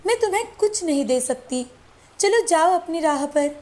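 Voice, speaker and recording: A woman storyteller voicing a humble, kind, homeless young mother in a gentle, earnest way for a children's moral story. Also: very high voice, expressive, normal speed, pitched above the performer's own voice. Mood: gently shooing.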